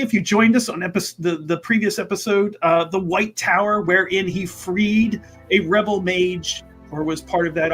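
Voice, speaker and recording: A man's voice: sits high, fairly bright tone. A man speaking casually as a player on a tabletop game stream, in a wordy, mock-grandiose way.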